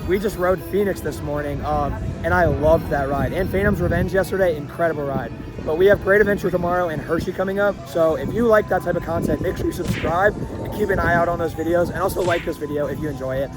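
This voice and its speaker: A young man with a hoarse, worn-out voice talks to his camera outdoors at an amusement park. His voice sits high and comes out eager and chatty, full of ums and likes. Music plays clearly in the background. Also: fast talker, hardly any pauses, rough recording. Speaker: vlogger host. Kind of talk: casual vlog walkthrough.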